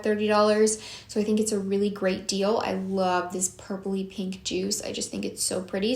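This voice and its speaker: A young woman reviewing perfumes in a casual, gushing vlog, her voice a little hoarse from a long day of filming. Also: medium pitch. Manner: chatty, unhurried and gushing. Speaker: beauty vlogger. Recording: echoey room.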